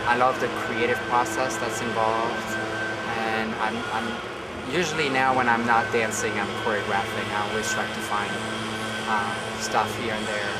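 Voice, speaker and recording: A man from Belgium in his thirties, a ballet choreographer, explains his work in a loose, searching way, full of small pauses and fillers. His voice sits on the low side. The recording is taken from a distance, with a loud, constant background hum. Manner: thoughtful, searching for words with many fillers. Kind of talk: casual interview talk.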